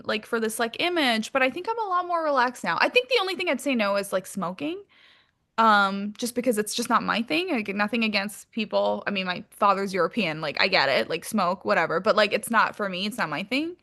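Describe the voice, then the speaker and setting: Bright-voiced woman, candid and informal. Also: mid-range voice, animated, brisk. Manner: candid, informal, peppered with "like", mild swearing. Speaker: interview guest, actress. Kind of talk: casual chat.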